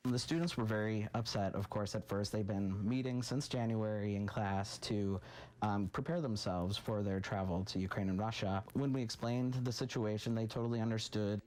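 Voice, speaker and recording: A man's voice: lowish voice, steady tone, moderate pace, a little mellow. A man speaking as a university staff member in a news interview.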